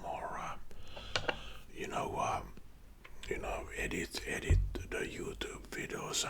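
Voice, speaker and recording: A man from Finland with a Finnish accent whispering a casual personal story in ASMR style, in a halting, hushed manner. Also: sits low. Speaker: ASMR video creator and support worker.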